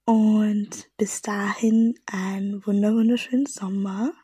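A woman hosting a radio show, reading a soft scripted outro close to the mic. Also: mid-range voice, fairly even intonation, measured pace, breathy edge, dry recording.

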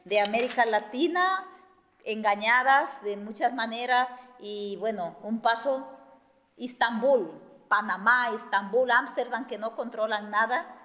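A woman's voice: on the high side, a bit bright. Woman lecturing in a hall. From Guatemala. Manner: measured, hesitant with many fillers. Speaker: speaker. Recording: echoey hall.

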